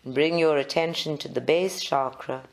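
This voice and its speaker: A meditation leader, giving measured guided instructions in short phrases with long pauses. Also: mid-range voice, steady tone.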